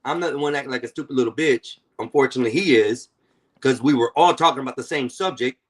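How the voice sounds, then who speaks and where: A man's voice: medium pitch, fast talker, fairly bright tone. Blunt, combative man in his forties. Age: 40s. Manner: blunt and confrontational, swears freely. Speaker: stream host. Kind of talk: heated live-stream panel talk.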